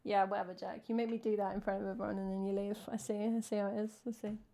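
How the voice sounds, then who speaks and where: A woman's voice: medium pitch, little pitch movement, rapid-fire, vocal fry. A woman from England with an English accent, a singer-songwriter chatting casually and self-mockingly between songs on her live stream. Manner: chatty and self-mocking, with soft trailing asides.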